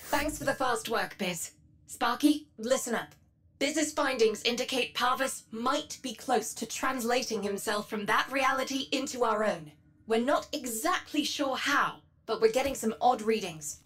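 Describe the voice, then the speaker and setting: A businesslike female commander in a sci-fi game. Her fairly high voice gives a direct, urgent briefing in informal words. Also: measured pace, a bit bright.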